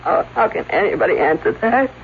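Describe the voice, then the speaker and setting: An actress playing the distressed wife of a stage escape artist in a 1970s radio drama, emotional and insistent. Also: female voice, mid-range voice, animated, fairly quick, natural voice. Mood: distressed, regretful. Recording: muffled, faintly noisy old radio broadcast.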